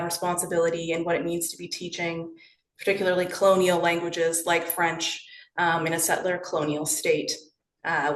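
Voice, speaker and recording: A woman's voice: lowish voice, echoey room, poor audio. A woman in her thirties from Toronto, giving an academic talk remotely in a conversational way, with frequent fillers and self-corrections. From Toronto, Canada. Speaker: researcher giving a colloquium talk. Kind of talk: academic presentation.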